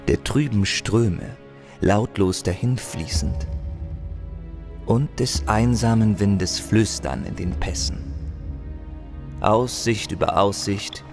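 A man acting a solemn, eloquent wandering adventurer and chronicler in an audio drama, with theatrical delivery. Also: fairly low pitch.

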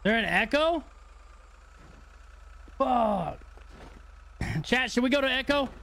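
A high-voiced young man livestreaming, talking to chat in loose, crude slang. His tone is relaxed and off the cuff. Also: takes it slowly. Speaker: streamer.

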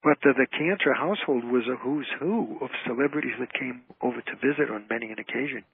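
An older man with a husky voice, telling anecdotes casually as a guest in a radio interview. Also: mid-range voice, fast, a little hiss or hum. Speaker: guest, author and comedy emcee. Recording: tinny, narrow phone-line sound.

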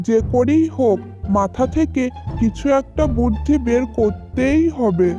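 Woman voicing a high-pitched sly old cartoon fox. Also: lively intonation, unhurried, lo-fi sound, over music. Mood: weary, complaining. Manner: wheedling, mocking, plotting aloud. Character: sly old fox, scheming, weary and flattering. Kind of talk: children's cartoon folk tale.

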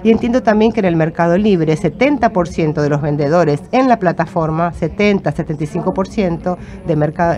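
A middle-aged woman, a native Portuguese speaker, giving a measured, formal talk as a conference panelist into a handheld microphone. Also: on the low side, a little mellow. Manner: measured, cites figures steadily. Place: conference stage, indoors. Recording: conference hall with audience murmur. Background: audience crowd.

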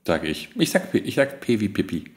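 A man's voice: on the low side, sing-song, fairly quick. A man in casual banter as a panelist on a podcast.

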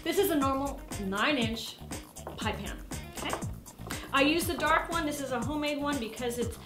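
A high-voiced woman hosts a cooking demonstration in her kitchen. She is chatty and playful, laughs a lot and makes little sound effects as she works. The kitchen is echoey and music plays behind her. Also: normal speed, distant mic. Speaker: cooking show host. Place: home kitchen.

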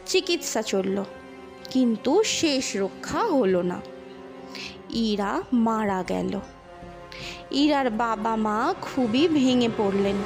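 A woman narrating a scripted ghost story in an expressive, dramatic storytelling manner. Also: on the high side, creaky.

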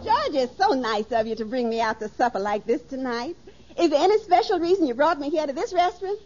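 An actress playing a bossy, sharp-tongued wife in a 1950s radio sitcom, theatrical and emphatic. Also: female voice, high-pitched, big pitch swings, fast talker, faint music behind. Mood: softened. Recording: dull old radio recording.

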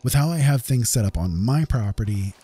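A man's voice: mid-range voice, lively intonation, fairly quick, dark tone, slightly rough. A man hosting a gaming video, narrating a script in a casual, conversational way with emphatic touches. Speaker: video game content creator, host. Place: voice-over over game footage. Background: faint background music.

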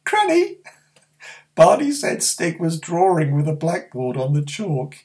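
A man voicing a teasing young girl in a storybook reading, with a high, bright voice. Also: huge pitch swings, unhurried.

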